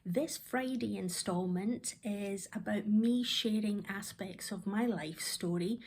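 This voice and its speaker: A Scottish woman in her fifties telling stories from her own life in a thoughtful, rambling way, often pausing on "um" and restarting her sentences. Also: medium pitch, a little mellow, a little hiss or hum. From Scotland. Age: 50s. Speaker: vlogger. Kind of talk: reflective personal storytelling.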